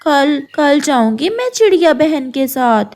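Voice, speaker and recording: A woman puts on a sorrowful cartoon bird wife. Her voice is very high and slow. She sounds tender and plaintive, a soft-hearted wife. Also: big pitch swings, exaggerated voice. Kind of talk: Hindi moral-story cartoon dialogue.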